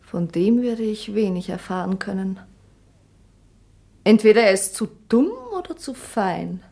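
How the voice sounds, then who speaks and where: An actress playing a sharp-tongued, coquettish young maid in a radio play. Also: female voice, medium pitch, animated, a little slow, gravelly. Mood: pert. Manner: pert, mocking, coaxing.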